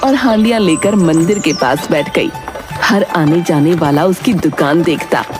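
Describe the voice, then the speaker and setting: A woman's voice: fairly low pitch, unhurried, music in the background. A woman narrating a scripted moral story for children as a storyteller.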